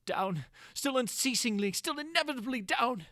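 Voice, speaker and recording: A man's voice: extremely high pitch, expressive, normal speed, sharp tone, no room sound, clean studio sound, voice pushed up, exaggerated voice. A man playing a tormented prisoner recounting his agony in a theatrical, anguished way with archaic diction, in a gothic horror reading. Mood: frantic terror.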